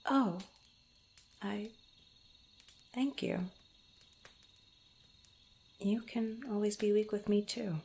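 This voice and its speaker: A woman playing a proud but tender young female adventurer in an intimate ASMR roleplay, speaking softly and haltingly. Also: mid-range voice. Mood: teasing, tired. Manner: halting, stammering, soft-spoken with breathy asides.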